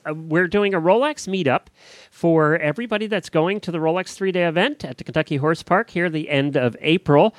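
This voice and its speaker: Higher-pitched man hosting a casual podcast. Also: no echo. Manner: informal, conversational. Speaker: podcast host. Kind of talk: casual chat and show announcements.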